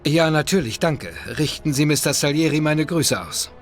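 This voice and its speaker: A man's voice: on the high side, lively intonation, moderate pace, a little hiss or hum, lightly acted. An actor playing a modest, wary male taxi driver in a video game, polite and plain-spoken. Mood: nervous, grateful. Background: music.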